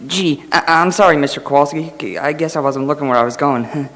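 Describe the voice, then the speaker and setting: A man's voice: a little high, fairly even intonation, a little fast, a bit bright, close to an everyday voice. A man playing a meek, clumsy, apologetic young studio assistant who stammers, in an old-time radio comedy. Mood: sheepish, flustered. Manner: stammering, deferential. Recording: echoey hall reverb.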